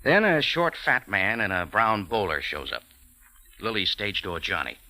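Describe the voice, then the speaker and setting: Hardboiled American expatriate, low pitch, lively swooping delivery, wry and laconic, vintage radio drama. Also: male voice, normal speed, heavily acted. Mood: explaining. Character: wry American café owner in Cairo, hardboiled adventurer. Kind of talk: 1940s radio drama acting with first-person narration. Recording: old radio recording with organ music.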